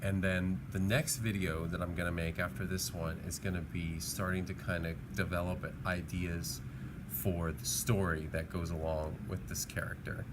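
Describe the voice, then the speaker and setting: Casting: male art teacher, middle-aged, low voice, halting think-aloud demonstration style, noticeable background noise. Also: flat delivery. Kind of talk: informal instructional demonstration. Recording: clearly noisy background.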